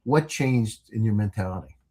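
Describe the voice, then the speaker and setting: A man's voice: lowish voice, expressive, normal speed, warm tone, husky. A man hosting an interview, asking curious follow-up questions in a casual way. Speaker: podcast host.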